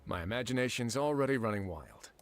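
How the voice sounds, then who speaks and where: A man's voice: mid-range voice, lively intonation, fast, slight background noise, lightly acted. An actor playing a wry, teasing man who runs a fortress prison in a video game, speaking in a dry conversational way.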